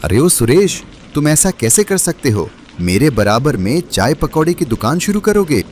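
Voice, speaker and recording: A man playing a jealous, threatening rival snack seller in an animated moral story, confrontational and indignant. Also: a little high, moderate pace. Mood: outraged. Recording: rain and street noise behind.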